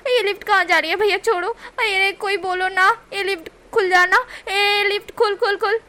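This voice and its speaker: A woman voicing a young man who loudly pretends to be a poor, naive village boy, whining and pleading melodramatically. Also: very high voice, steady tone, normal speed, pitched above the performer's own voice. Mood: panicked wailing. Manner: whiny, melodramatic shouting and pleading.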